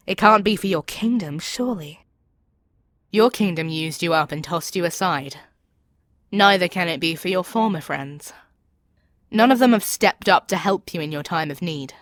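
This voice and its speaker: A low, dry-recorded demon queen, acted out by a woman for an ASMR audio roleplay. She is imperious and seductive, coaxing her captive with arrogant confidence and a readiness to sink into whispers. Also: dry recording, close to an everyday voice.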